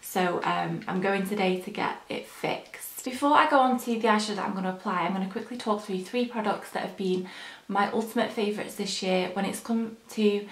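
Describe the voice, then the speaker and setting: A young woman with a slightly hoarse morning voice, chatting casually through her makeup routine as a beauty vlogger. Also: mid-range voice, brisk, roomy sound. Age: young adult. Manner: chatty, rambling, frequent ums. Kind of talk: casual chat while applying makeup.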